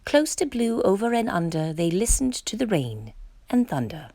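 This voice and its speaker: A woman reading a children's picture book aloud with expressive, theatrical delivery. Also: mid-range voice, normal speed, no echo. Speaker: storytime reader.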